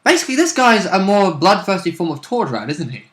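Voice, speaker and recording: Bright, crisp-edged male co-commentator. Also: high voice, very animated, a little fast. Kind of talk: casual chat commentary.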